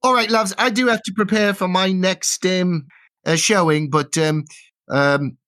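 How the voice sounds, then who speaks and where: A man voicing a warm, flirty, motherly theater actress who gushes and calls everyone love in a tabletop game. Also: sits high, steady tone, unhurried, no echo, pitched above the performer's own voice. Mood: warm, grateful. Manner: gushing, calls people love.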